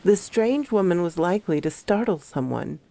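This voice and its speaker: Woman as a creaky-voiced, troubled park ranger. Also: on the low side, expressive, moderate pace, warm tone, a little hiss or hum. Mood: wary, recounting. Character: troubled Chilean park ranger telling her horror tale. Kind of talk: scripted first-person horror story narration.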